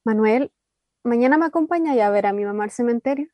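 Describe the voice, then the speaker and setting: Actress as curious chilean woman in a radio play, highish voice, chatty questions, close dry sound. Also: lively intonation, a little fast, no echo, muffled recording, lightly acted. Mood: playful, guarded. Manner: conversational, questioning. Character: inquisitive, guarded woman named Amanda with a secretive past. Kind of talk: naturalistic radio drama dialogue.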